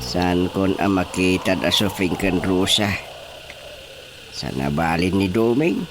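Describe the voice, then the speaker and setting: A man's voice: low voice, takes it slowly, background noise. An actor with an Ilocano Filipino accent playing a theatrical elderly father-in-law in a comedic radio drama. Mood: scolding.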